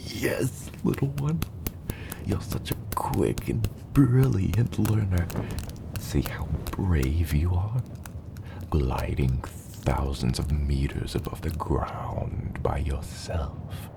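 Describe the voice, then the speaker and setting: A man voicing a gentle, proud dragon father in an ASMR roleplay, tenderly encouraging his hatchling in a gravelly, often breathy and whispered voice. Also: extremely low pitch, animated, noisy background, exaggerated voice. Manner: slow, tender, encouraging, softly playful.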